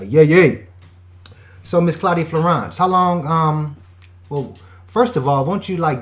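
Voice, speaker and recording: A man hosting an online radio show, talking in an energetic, slangy hype style with catchphrases. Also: on the high side. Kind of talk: hype radio host patter.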